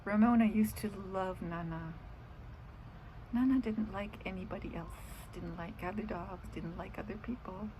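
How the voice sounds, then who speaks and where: A woman's voice: mid-range voice, normal speed, noisy background. A middle-aged woman in casual, rambling chat about her day, drifting off into asides. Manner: meandering, trailing off into asides. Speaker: vlogger.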